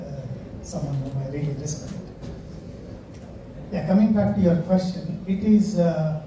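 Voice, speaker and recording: A man whose first language is Konkani reflects aloud in English, his voice dark and mellow yet sitting a little high. He is heard from a distance in a strongly echoing hall. Faint noise lies beneath. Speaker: author on a panel. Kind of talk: reflective conversational answer.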